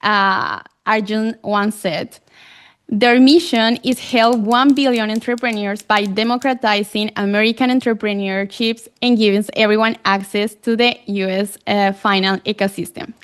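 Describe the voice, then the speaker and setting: A woman's voice: mid-range voice, steady tone, moderate pace, clean tone. A Colombian woman whose first language is Spanish, hosting a podcast interview in English with eager delivery, small slips and fillers. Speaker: podcast host.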